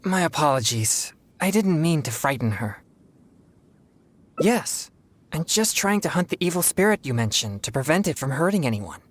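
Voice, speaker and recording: An actor playing an earnest, polite young exorcist in a video game, speaking in a formal, measured, self-controlled way. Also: male voice, on the high side, sing-song. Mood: anxious, apologetic.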